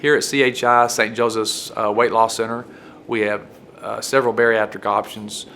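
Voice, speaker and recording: A man's voice: on the low side, little pitch movement, normal speed, sharp tone, slight background noise. A man explaining as a clinician in an unscripted interview style. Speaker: weight loss center clinician.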